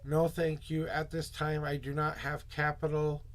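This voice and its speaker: A hoarse man hosting a casual tarot livestream, muttering asides to himself and greeting viewers in a loose, chatty way. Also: fairly high pitch, completely flat delivery. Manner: upbeat, drawn-out greetings to viewers. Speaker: tarot reader and livestream host.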